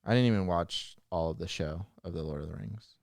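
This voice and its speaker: A man's voice: sits low, fairly quick, creaky, a little mellow, no echo, studio quality. A man talking casually as a panelist on a group talk show. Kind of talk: casual chat.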